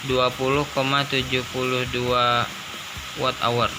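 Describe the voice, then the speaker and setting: A man's voice: medium pitch, completely flat delivery, slow pace, background noise. A man giving a casual unboxing commentary, informal and thinking aloud as he goes. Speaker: product reviewer. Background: music.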